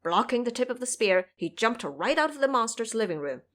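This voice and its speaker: A woman narrating a classic fantasy tale in a measured, descriptive reading. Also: fairly high pitch, very animated, a bit bright. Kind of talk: scripted storybook narration.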